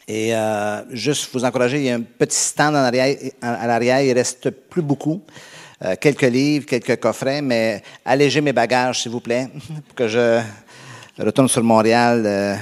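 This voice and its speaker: A man from Canada with a Québécois accent delivers a sermon to his congregation. His voice sits at an ordinary pitch, and his delivery is conversational and halting, full of fillers and restarts. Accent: Québécois French. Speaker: pastor.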